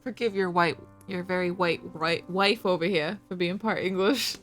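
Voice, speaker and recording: A woman's voice: lowish voice, fairly even intonation, normal speed, a bit bright. A woman co-hosting a casual game playthrough, bantering in a playful, mock-indignant way. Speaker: gaming channel co-host. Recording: music playing behind.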